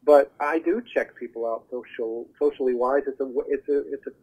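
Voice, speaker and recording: A man speaking as a talent agent guest, giving advice in an earnest, halting, conversational way over the telephone. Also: medium pitch, breathy edge. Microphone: telephone call. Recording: muffled narrow phone line.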